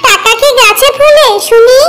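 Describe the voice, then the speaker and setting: A woman's voice: extremely high pitch, normal speed, over loud music. A woman voicing a stern, nagging village mother in a cartoon. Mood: angry scolding. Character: scolding, cash-strapped village mother.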